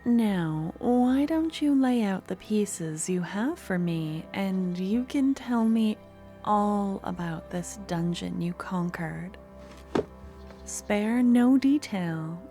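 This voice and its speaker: A woman voicing a doting, possessive young woman merchant in an ASMR roleplay, speaking softly and often slipping into whispers. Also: mid-range voice, lively intonation, slow pace, soft background music. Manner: soft, intimate, slips into whispers.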